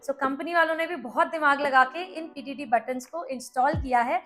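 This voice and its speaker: A woman's voice: sits high, moderate pace, fairly bright tone, faint music behind. A woman with an Indian accent hosting a casual product comparison, explaining things and mixing English words into her speech. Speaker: product review host.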